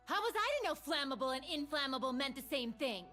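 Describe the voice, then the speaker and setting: A woman's voice: high-pitched, big pitch swings, a little fast, pushed and bright, faint music behind, heavily acted. A woman voicing a sassy, playful young heroic mage in a video game, with theatrical delivery. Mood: sassy, teasing. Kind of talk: video game champion voice lines.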